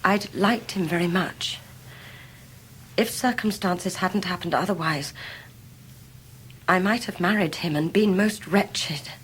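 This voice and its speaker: An actress playing a devoted, dignified young Victorian wife in a period radio drama, fervent and formal in delivery. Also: female voice, fairly low pitch, slow, long pauses, a bit bright, a little hiss or hum, rough recording. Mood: fervent, sincere.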